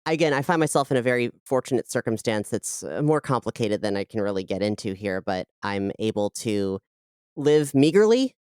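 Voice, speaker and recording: A woman's voice: extremely low pitch, big pitch swings, fairly quick, a little mellow, clean tone, no echo, studio quality. A woman giving casual, reflective interview answers as a podcast guest, often qualifying what she says.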